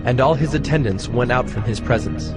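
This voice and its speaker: Solemn male narrator over clear music. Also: mid-range voice, brisk. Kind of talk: scripted dramatized Bible narration.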